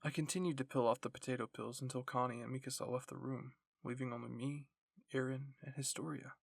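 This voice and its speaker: Man, ASMR story narration, gravelly, husky, scripted first-person reading, very dry recording. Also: mid-range voice, fairly even intonation, brisk, no echo. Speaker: ASMR roleplay reader.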